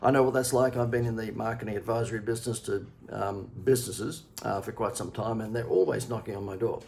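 A man in his sixties with an Australian accent, a marketing consultant giving a chatty, persuasive sales pitch from a home office, often pausing with "uh". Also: fairly even intonation, vocal fry, a little mellow, slight background noise. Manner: chatty, persuasive, many "uh" fillers. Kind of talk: direct-to-camera sales pitch.

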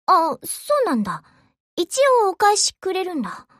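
A woman's voice: very high-pitched, sing-song, moderate pace, dry recording, studio quality. A woman voicing an aloof, offhand teenage warship girl in an anime game. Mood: aloof, bashful. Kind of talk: Japanese anime game voice acting.

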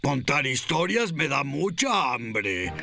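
An actor with a Latin American Spanish accent playing a boastful, grumpy old crab in a cartoon dub, rambling theatrically and dismissively. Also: male voice, medium pitch, big pitch swings, slow pace, gravelly, pushed and bright, full character voice.

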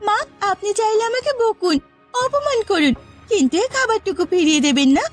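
A woman voicing a gentle young new bride in a cartoon story, speaking softly and pleadingly as a dutiful daughter-in-law. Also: very high-pitched, big pitch swings, a little fast, soft background music. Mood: hurt, pleading. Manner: soft, pleading, deferential. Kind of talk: cartoon story character acting.

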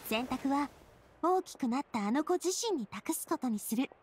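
Anime game heroine: elegant young boss, warm-hearted and sincere, high-pitched, animated delivery. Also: female voice, moderate pace, slight background noise. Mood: gentle, reflective. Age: young adult. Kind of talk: Japanese anime game voice acting.

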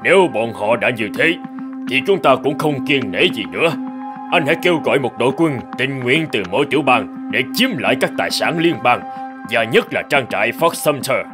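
Man acting an authoritative, expressive president. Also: mid-range voice, music in the background, lightly acted. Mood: stern, resolute. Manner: authoritative, deliberate. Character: stern, resolute middle-aged male president, thoughtful leader. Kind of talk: cartoon drama acting, storytelling.

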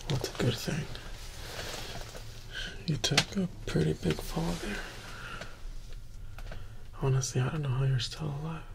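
A man in his twenties gently whispering reassurance up close in an ASMR role play, as a kind survivor caring for someone. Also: fairly high pitch, a little slow, gravelly, pauses often, noisy background. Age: 20s. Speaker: ASMR performer. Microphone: very close to the mic.

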